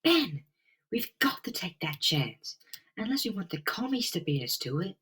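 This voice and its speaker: A man's voice: high voice, sing-song, normal speed, bright, forceful tone, recorded from a distance, voice pushed up, heavily acted. A man voicing a devoted, anxious young woman in a pleading, emotional comic-book reading. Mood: urgent, reproachful. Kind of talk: dramatic comic-book voice acting.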